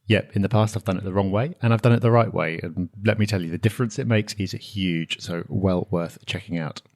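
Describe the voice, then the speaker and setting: A British man co-hosting a podcast, briskly wrapping up the show and plugging events. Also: deep voice. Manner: brisk, wraps up and plugs events. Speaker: podcast co-host. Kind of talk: casual podcast chat.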